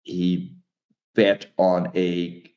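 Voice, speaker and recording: A man's voice: low voice, completely flat delivery, extremely slow, darkish tone. A man in his forties from Denmark with a Danish accent, a guest author speaking in an interview, often hesitating and repeating words as he thinks. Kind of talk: conversational interview.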